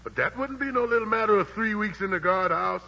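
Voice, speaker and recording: A man's voice: high-pitched, lively intonation, fairly quick, gravelly, sharp tone, heavily acted. An actor in a 1940s radio drama playing a bullying army sergeant who mocks his rival. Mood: mocking. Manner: mocking, swaggering. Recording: muffled 1940s radio broadcast with reverb.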